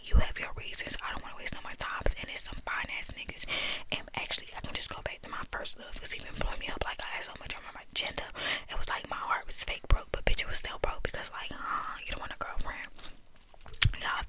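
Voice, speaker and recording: Whispering young woman telling a story. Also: poor audio. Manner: whispering, candid and profane.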